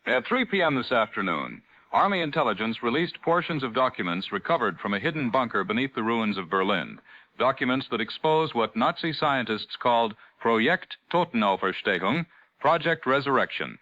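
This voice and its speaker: Bright, forceful 1940s radio newsman, acted by a man. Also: mid-range voice, big pitch swings, moderate pace, a little hiss or hum. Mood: grave, urgent. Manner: formal, dramatic announcer delivery. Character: grave 1940s radio news anchor, adult man. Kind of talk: 1940s radio newscast acting. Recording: dull lo-fi vintage radio broadcast.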